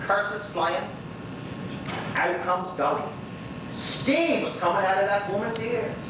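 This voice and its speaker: Echoey, distant man acting an old Bermudian widower. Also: sits high. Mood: amused gossip, then animated, exasperated. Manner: rambling, folksy, gossipy storytelling. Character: lonely old Bermudian widower, chatty, wistful neighbourhood storyteller. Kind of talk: stage monologue in conversational dialect. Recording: echoey stage recording from afar, noisy, dull.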